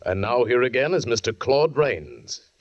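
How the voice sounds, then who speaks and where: A man's voice: medium pitch, sing-song, measured pace, lo-fi sound. A man announcing formally as a 1940s radio show announcer. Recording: 1940s radio, loud music behind.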